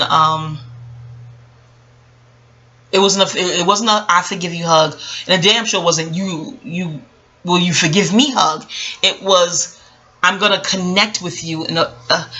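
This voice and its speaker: A woman speaking casually and reflectively about her own experience, rambling with emphatic pauses and frequent self-corrections. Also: on the low side, pushed and bright. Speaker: vlogger. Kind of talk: casual reflective personal storytelling.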